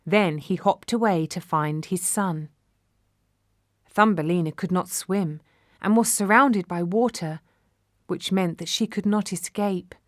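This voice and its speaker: A woman narrating a children's fairy tale from a script, measured and expressive. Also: on the low side, dry recording, clean studio sound. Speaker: storyteller.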